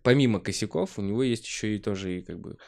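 A man's voice: fairly low pitch, quick pace, creaky, darkish tone. A man chatting casually as the host of a podcast. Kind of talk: casual chat.

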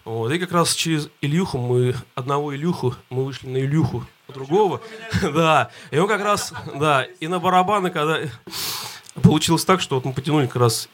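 A man's voice: fairly high pitch, a little fast, a bit bright. A male band member telling a rambling story with many fillers and restarts. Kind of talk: casual storytelling.

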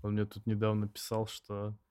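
Young guy, hoarse lowish voice, dry sound, rambles and swears on a podcast. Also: monotone, moderate pace, warm tone, no echo. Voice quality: slightly hoarse. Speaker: podcast co-host. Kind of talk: casual chat with heavy swearing.

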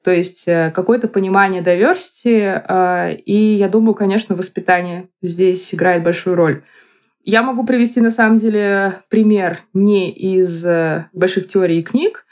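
A woman in her thirties giving reflective explanations in a podcast, picking her phrases haltingly and deliberately. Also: medium pitch, a little mellow. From Moscow, Russia.

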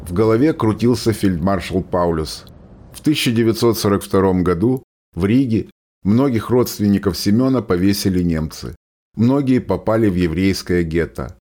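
A man with a fairly low voice narrates a war story. The delivery is scripted storytelling for a radio play. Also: animated, normal speed, a little mellow.

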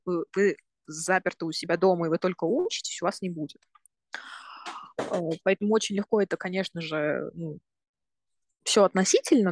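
A woman in her twenties who grew up in Russia talks through her studies as a podcast guest. She is thoughtful and explains step by step, often stopping to rephrase. Her voice sits at a middle pitch and is recorded dry and close, with no room sound. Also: no echo. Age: 20s. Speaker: podcast guest, university student. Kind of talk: casual explanatory talk.

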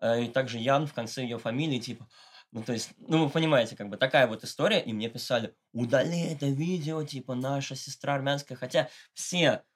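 A man's voice: mid-range voice, fairly quick. A man giving a casual, slangy and ironic interview as a podcast guest. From Russia, Moscow. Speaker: interview guest, satirical blogger.

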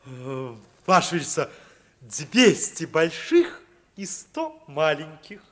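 An actor playing a fussy, cooing and servile royal courtier in an old radio drama. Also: male voice, on the high side, wildly swooping pitch, slow, fairly bright tone, full character voice. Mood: servile, eager. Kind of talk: Soviet 1950s radio play acting.

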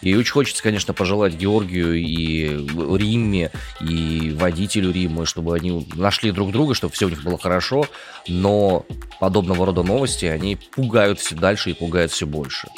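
A man with a very low, deep voice co-hosts a news discussion show. He reads out stories and then reflects on them, thinking aloud with small hesitations. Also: fairly quick, soft background music.

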